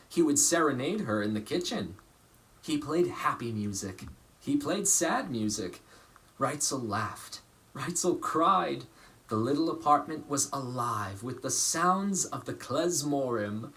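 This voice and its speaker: A male storybook reader with a mid-pitched voice that moves up and down in a lively way. He narrates for children with measured, dramatic phrasing and pauses for emphasis. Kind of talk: expressive scripted storytelling for children.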